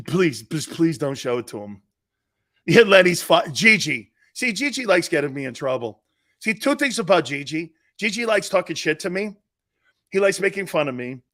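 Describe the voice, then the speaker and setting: A man's voice: on the high side, big pitch swings, normal speed, sharp tone. A middle-aged man hosting a live sports talk show, joking and rambling, laughing often as he talks. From Italian-American family.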